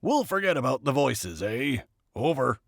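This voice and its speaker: A man voicing a gruff, short-tempered military general who barks curt threats in an audiobook story. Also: mid-range voice, sing-song, moderate pace, dry recording, studio quality. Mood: brusque, satisfied. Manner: barking, curt, threatening, swearing. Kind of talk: scripted audiobook character dialogue.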